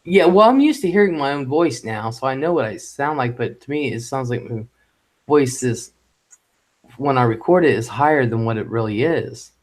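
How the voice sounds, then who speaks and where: A man hosting a casual live stream chat, rambling and halting, with lots of ums and restarts. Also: mid-range voice, expressive. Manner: halting and rambling, with many fillers. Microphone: home microphone.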